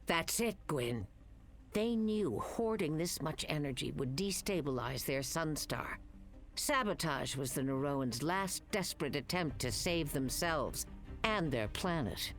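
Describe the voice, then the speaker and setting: A middle-aged woman playing a commanding, supportive hologram captain who mentors cadets in an animated game. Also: low-pitched, measured pace, soft background music. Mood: grave.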